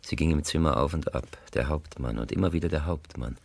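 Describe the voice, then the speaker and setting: A man narrating a literary radio play, grave, composed and deliberate, on a close studio mic. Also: extremely low pitch, vocal fry, slightly rough, dry recording, heavily acted. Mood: grave, intimate.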